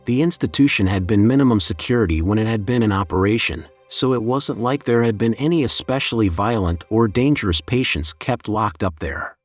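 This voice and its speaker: Guy with a lowish voice reading a creepypasta, measured storytelling, music playing behind him. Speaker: horror story narrator. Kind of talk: scripted first-person creepypasta narration. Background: background music.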